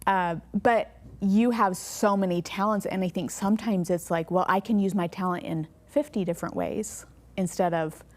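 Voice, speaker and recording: A woman hosting a faith podcast, asking questions and affirming her guest warmly with quick agreements. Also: mid-range voice, fairly even intonation. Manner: affirming, encouraging, many quick yeahs. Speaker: podcast host.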